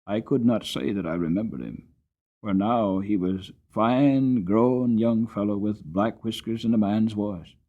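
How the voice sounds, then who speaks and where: A man giving a dramatic storybook narration as an audiobook reader, expressive and deliberate. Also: on the low side, narrow, tinny audio.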